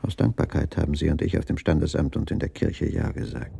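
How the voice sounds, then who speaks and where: Radio thriller lead: weary waiter, thirties, deep, husky and gravelly. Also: male voice, little pitch movement, a little fast, dark tone, no room sound, clean studio sound, full character voice. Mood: subdued, serious. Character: weary dining-car waiter, unhappily married man in thriller. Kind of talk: radio drama acting.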